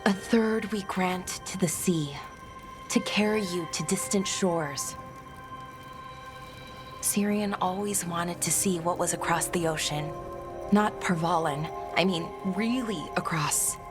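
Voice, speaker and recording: A woman's voice: on the low side, measured pace, natural voice. A woman acting a hesitant, grieving young elf, music behind. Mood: wistful, uncertain. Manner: rambling, hesitant, self-correcting. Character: grieving young elf woman, earnest, scholarly, unsure of herself. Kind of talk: video game dialogue acting. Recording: clear game music behind the voice. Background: game music.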